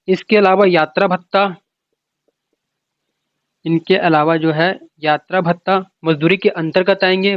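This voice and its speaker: High-pitched man teaching, dull lo-fi sound. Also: no echo. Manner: repetitive, asks and answers own questions. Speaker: exam coaching teacher. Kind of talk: explanatory lecture, informal.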